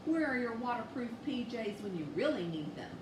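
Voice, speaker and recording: A woman voicing a mocking, boisterous older bear who bullies, with a drawn-out, taunting delivery. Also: a little high, big pitch swings, echoey room, far from the mic, noisy background. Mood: gloating.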